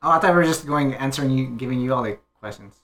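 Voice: mid-range voice, racing. Podcast co-host chatting about movies, super informal, laughs easily, loose retelling. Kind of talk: casual movie discussion.

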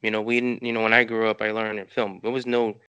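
A man's voice: fairly low pitch, flat delivery, fast talker, pushed and bright, clean tone, no echo, muffled recording. A middle-aged man with a New York accent, a filmmaker rambling through interview answers with many restarts and "you know" fillers. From New York City. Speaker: filmmaker guest.

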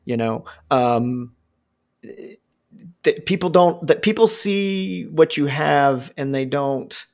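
A man's voice: fairly high pitch, animated, takes it slowly, vocal fry, a little mellow. A man in his forties talking casually, rambling with lots of fillers and restarts and swearing freely. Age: 40s. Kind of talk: casual conversation.

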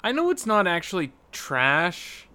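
Very slow, bright, higher-pitched man. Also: huge pitch swings, clean tone. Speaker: podcast co-host. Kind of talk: casual video game podcast chat.

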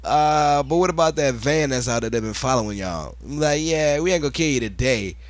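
A man's voice: medium pitch, fairly quick, no echo. A man chatting casually as a podcast co-host, telling stories in slangy, profane language. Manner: slangy, profane, animated retelling with quoted asides. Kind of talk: casual chat, storytelling.

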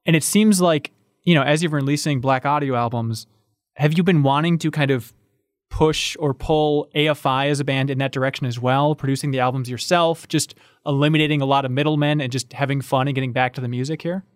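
A man's voice: mid-range voice, steady tone, fairly quick, dry recording, clean studio sound. A man hosting a podcast, asking long, enthusiastic questions. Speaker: podcast host. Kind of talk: podcast interview.

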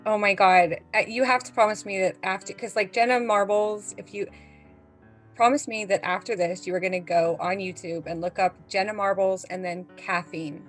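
A woman in her thirties talks in a casual group chat, with music playing behind her. She is animated and prone to exclaiming, with an ordinary mid-range female pitch. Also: normal speed. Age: 30s.

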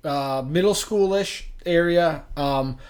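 A man from western Massachusetts chats as a podcast co-host. He tells his stories casually, rambling and haltingly, often doubling back to repeat a phrase. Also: on the high side, darkish tone. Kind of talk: casual personal storytelling.

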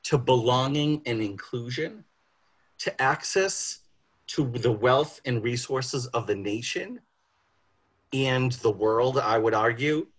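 A man with a husky voice giving a prepared talk on social justice as a panel speaker, phrasing it deliberately and with emphasis. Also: mid-range voice.

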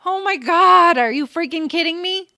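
Actress as awkward, excitable geek girl for comedy audio drama, high-pitched, faint music underneath. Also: normal speed, dry recording, studio quality, full character voice. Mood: outraged. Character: shy, socially awkward young gamer geek woman, quick-tempered online.

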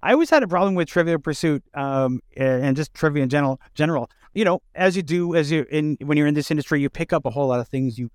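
A man's voice: a little high, fast talker, hardly any pauses, clear, resonant tone, dry recording. Man hosting a casual interview, dry sound. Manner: affirming, informal, feels out questions as he speaks. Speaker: interview host.

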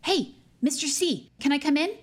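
An actress playing a polite, ingratiating high school senior, an American girl, in a naturalistic audio drama. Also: normal speed, lightly acted.

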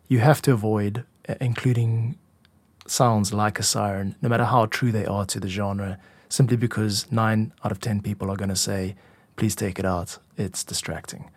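Male tutorial host, lower register, informal and instructive, recorded close and dry with no room sound. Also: darkish tone, husky, no room sound. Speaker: music producer and podcast host. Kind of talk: explanatory tutorial narration.

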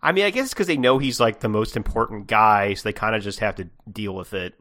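A man's voice: lowish voice, fast, sharp tone. A man co-hosting a podcast, chatting casually as he reacts and asks questions. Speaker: podcast co-host.

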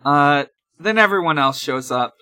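A podcast host casually recapping a show in a halting, hesitant way. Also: medium pitch, lively intonation, pushed and bright, clear, resonant tone. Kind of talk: casual episode recap chat.

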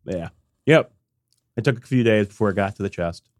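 A man's voice: on the low side, no room sound, studio quality. Congested man chatting on a podcast. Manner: rambling, halting with many fillers and restarts. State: congested, recovering from illness. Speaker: podcast co-host. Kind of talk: casual chat.

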